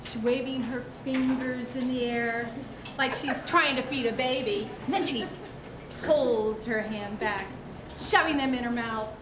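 A woman performing a dramatic reading of a humorous monologue with pointed, deliberate emphasis. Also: a little high. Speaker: student performer. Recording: echoey room with clear background noise.

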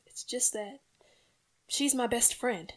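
A woman acts out an earnest radio-comedy housewife and mother who is no longer young. Her voice sits a little high. She speaks in a folksy, coaxing way with a plaintive edge, trying to keep the peace. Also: slow pace. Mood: wistful, confiding. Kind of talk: old-time radio comedy acting.

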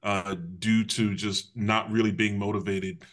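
Middle-aged man, lowish voice, measured guest on a video call. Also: level tone, fairly bright tone. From Connecticut. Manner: measured, thoughtful, some restarts. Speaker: guest, public safety official. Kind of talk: interview answers. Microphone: video call webcam.

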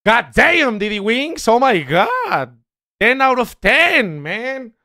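A man's voice: very high voice, very animated, extremely slow, sharp tone. A Mexican man streaming, reacting to clips in joking, crude casual commentary.

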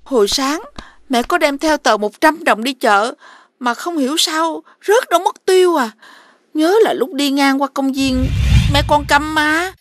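Cartoon mama pig, gentle and resigned, high unhurried voice,. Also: female voice. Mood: worried. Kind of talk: cartoon dubbing.

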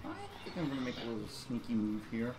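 A young man streaming a shooter game from home at a desk mic, commenting excitedly and breaking into high-pitched yelps when startled. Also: fast talker, background noise. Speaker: gaming streamer. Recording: roomy with game music behind.

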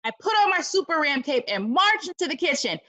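A woman reading a picture book aloud to children like a teacher, dramatic and expressive, with exclaiming asides. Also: high voice, fairly quick.

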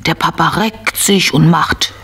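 A woman narrating a scripted children's audio story in an expressive storytelling manner. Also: deep voice, a little slow, husky.

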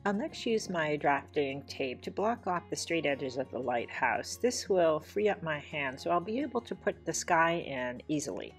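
A woman teaching watercolor painting, explaining each step in a measured, plain voice-over. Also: low-pitched, expressive. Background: soft guitar music.

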